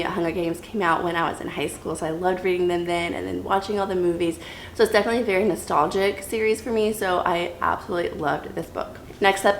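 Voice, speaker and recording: A woman's voice: lowish voice, roomy sound, slight background noise, rough recording. A woman in her thirties chatting casually and enthusiastically to viewers as a book vlogger, sounding slightly stuffy and repeating words for emphasis. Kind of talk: casual chatty reading wrap-up to camera.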